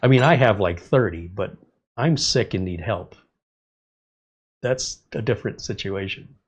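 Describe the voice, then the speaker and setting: Stuffy-nosed middle-aged guy hosting a live stream, lower voice, rambly chat with viewers. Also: animated, unhurried, breathy edge, dull audio. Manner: rambling, chatty, reads viewer comments aloud. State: slightly congested. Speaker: livestream host. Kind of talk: casual livestream chat.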